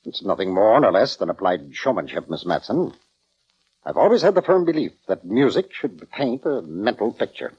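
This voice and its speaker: An actor playing a pompous, self-important orchestra conductor in 1940s radio drama style, formal, theatrical and easily affronted. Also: male voice, lowish voice, very animated, normal speed, a little mellow, husky, heavily acted. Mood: proud, lofty. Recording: dull vintage radio recording.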